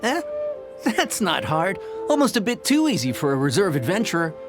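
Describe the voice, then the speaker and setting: A man's voice: high voice, sing-song, moderate pace. A man voicing a brash, self-important young adventurer for hire in a video game. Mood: cocky. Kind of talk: video game character acting. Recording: music playing behind the voice.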